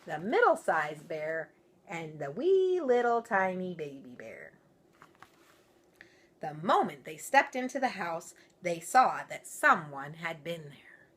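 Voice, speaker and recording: A woman's voice: on the low side, fairly bright tone. A woman reading a children's story aloud expressively, with informal, playful asides.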